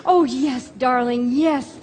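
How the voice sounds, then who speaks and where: A woman in a live old-time radio drama playing a loving, excited young wife and mother, earnest and exclamatory. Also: sits high, takes it slowly. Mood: breathless, loving. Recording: reverberant theater stage recording.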